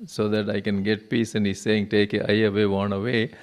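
A man's voice: lowish voice, little pitch movement, normal speed. Hesitant man. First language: Telugu. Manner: hesitant, many fillers and restarts. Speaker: interviewee, cardiac surgeon. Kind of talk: personal testimony in an interview.

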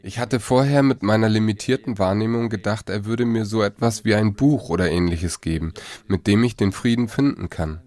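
A man reading a voice-over translation of an interview in a steady, even manner. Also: fairly low pitch, normal speed, few pauses.

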